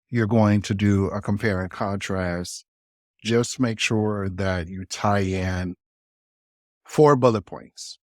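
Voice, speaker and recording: A man's voice: on the low side, no echo, studio quality. A man speaking informally and directly to viewers as he walks them through things like a student video creator. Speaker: student video creator giving a course walkthrough. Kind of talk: casual explanatory walkthrough.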